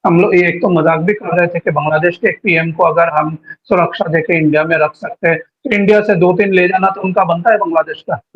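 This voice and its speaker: A man with a high, rough-edged voice talks at length as a guest in an informal political interview. He peppers his talk with English words, and the audio is somewhat dull and lo-fi. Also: fairly even intonation, fairly quick. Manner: talkative, mixes English words into Hindi. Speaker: guest giving local political account.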